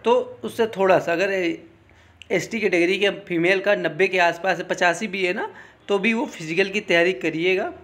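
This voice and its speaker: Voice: mid-range voice, moderate pace, fairly bright tone. An exam-prep coach, giving an informal explainer in an instructional way, repeating points and often adding short check-in tags.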